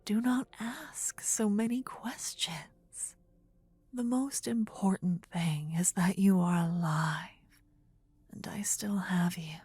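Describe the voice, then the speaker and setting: A woman playing a possessive, tender demon queen in a fantasy ASMR roleplay, with a soft breathy whisper and an intimate, regal, coaxing manner. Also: medium pitch, takes it slowly, no echo. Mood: evasive, soothing.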